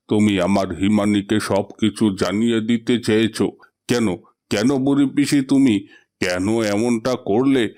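A man's voice: medium pitch, darkish tone, natural voice. A man voicing a possessive, desperate fish king in a melodramatic cartoon fairy tale.

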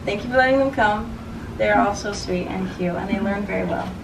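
A woman's voice: medium pitch, a little fast, gravelly, noisy background. A young woman coaching a group of little girls at cheerleading, explaining what they worked on. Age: young adult. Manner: calls out counts, brief encouragement. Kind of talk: coaching and counting out routines. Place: outdoor backyard. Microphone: far camera. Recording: roomy, distant camera sound.

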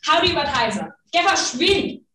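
A sharp, high, bossy official barking out orders, acted by a girl. The voice leaps up and down with clipped urgency. It rings out from across a roomy classroom with faint clicks and noise. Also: big pitch swings, normal speed. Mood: angry. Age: teens. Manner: blunt, urgent commands. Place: large school classroom. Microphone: far camera. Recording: roomy, distant, faintly noisy.

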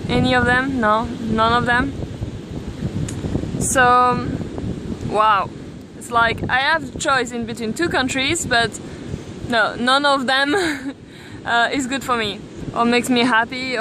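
A young woman from Belgium, filming herself at arm's length on a beach and talking thoughtfully with many pauses and restarts. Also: a little high, fairly even intonation. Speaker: vlogger. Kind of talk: casual selfie vlog. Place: outdoors on a beach, windy. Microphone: camera at arm's length. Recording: wind noise on the mic.

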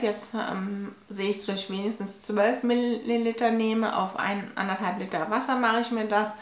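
Tired nasal woman in echoey room. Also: mid-range voice. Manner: tired, halting, with restarts. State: nasal from a cold. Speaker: vlogger. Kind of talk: personal health vlog. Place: home living room, indoors. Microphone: fixed camera at medium distance.

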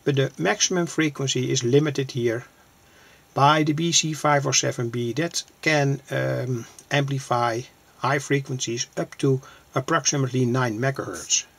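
A man explaining a hands-on demonstration plainly, in halting short phrases, as an electronics hobbyist presenter. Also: medium pitch, steady tone, vocal fry, fairly bright tone, slightly rough. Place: small cluttered indoor electronics workbench.

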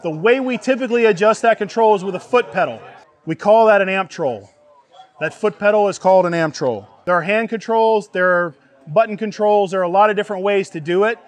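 A man's voice: high voice, brisk. A middle-aged man instructing a group in a live hands-on class, plain-spoken and folksy, checking that listeners follow. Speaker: welding instructor. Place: outdoors under an open tent canopy before a seated group.

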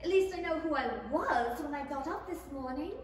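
A very high, girlish voice, acted by a woman, polite and bewildered, in the manner of an old radio play. It rings around an echoey hall, some way from the microphone. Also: a little fast, lightly acted. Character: Alice, polite, bewildered little girl. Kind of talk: 1930s radio play acting. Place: theatre stage, reading from script. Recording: echoey hall, distant, faint noise.

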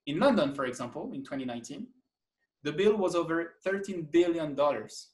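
A man gives an enthusiastic slide presentation over a video call, with lively emphasis and occasional restarts. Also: on the high side, a little fast. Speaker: conference speaker. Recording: echoey room, distant mic.

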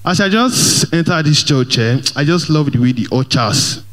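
Pidgin-accented young comedian on stage. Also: male voice, on the high side, normal speed, a little hiss or hum. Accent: Nigerian Pidgin English. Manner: lively, mixes Pidgin and English, plays to the crowd. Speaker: stand-up comedian. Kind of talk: stand-up comedy. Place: large church hall stage. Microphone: handheld microphone.

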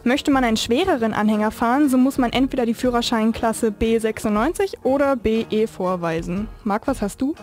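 A woman co-hosts a radio show in a fairly high voice, with music faintly behind her. She moves between prepared explanations and informal chat. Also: brisk, hardly any pauses, darkish tone. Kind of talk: informal radio moderation, partly scripted.

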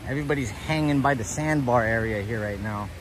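A man's voice: mid-range voice, a little fast. A man hosting a casual walking livestream outdoors, chatting in a laid-back way and greeting viewers. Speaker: livestream host. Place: outdoors, walking along a beach. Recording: noisy outdoor ambience, breezy.

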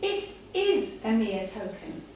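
A domineering Victorian lady, acted by a woman reading aloud in a live story reading. She commands bluntly and haughtily in a high voice. The recording is distant and full of echo. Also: very animated, takes it slowly, a little mellow. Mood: coolly demure. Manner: imperious, blunt, coolly commanding. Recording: echoey, distant camera mic, room noise.

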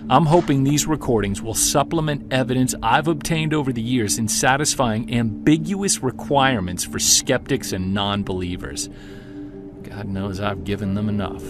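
A man playing a driven, defiant Bigfoot hunter narrating an audio-drama journal with earnest intensity and emphatic pauses. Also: mid-range voice, over music, natural voice. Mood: defiant, determined.

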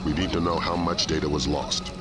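A man playing a middle-aged intelligence commander in a video game, giving briefings in a measured, authoritative way. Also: rumbling low, steady tone. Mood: firm, businesslike. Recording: loud music behind the voice.

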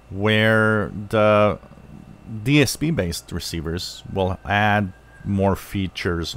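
A man hosting a hobby review, explaining things unscripted in halting short phrases, as if thinking aloud. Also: low voice. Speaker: channel host reviewing radios.

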